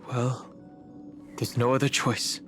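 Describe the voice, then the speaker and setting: An actor playing a reserved, polite young adventurer in an English game dub, musing to himself in a halting, introspective way. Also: male voice, mid-range voice, a bit bright.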